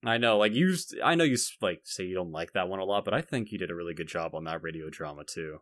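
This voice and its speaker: A man co-hosting a podcast in casual chat, speaking in an animated, emphatic way. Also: sits low, quick pace. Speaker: podcast co-host.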